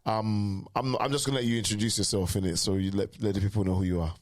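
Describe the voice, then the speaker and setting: Low-pitched British-born male interviewer. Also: quick pace, slight background noise. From United Kingdom. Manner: relaxed, filler-heavy questions. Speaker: interviewer host. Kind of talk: casual interview.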